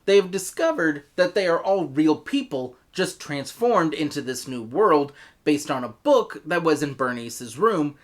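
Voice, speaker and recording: A man's voice: on the high side, animated, unhurried, few pauses. An American man delivering a scripted review in an emphatic, opinionated way. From United States. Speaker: reviewer, host.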